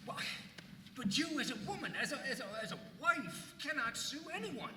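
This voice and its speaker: A man playing a cautious, exasperated colonial husband in a live play reading, protesting haltingly. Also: very high-pitched, expressive. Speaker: stage actor. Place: live reading in a church venue. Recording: echoey hall, distant mic, faint noise.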